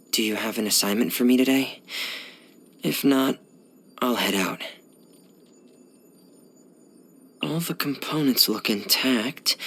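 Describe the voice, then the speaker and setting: A man playing a shy, gloomy teenage boy diver in video game voice lines, speaking softly and hesitantly in a hushed, breathy voice. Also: mid-range voice, full character voice. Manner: hesitant, reserved, subdued.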